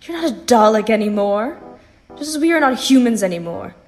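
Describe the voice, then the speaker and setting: A woman playing a composed phantom in a sci-fi audio drama, reasoning in a measured, pointed and persuasive way. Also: fairly high pitch, big pitch swings, a little mellow, faint music behind. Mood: earnest, challenging.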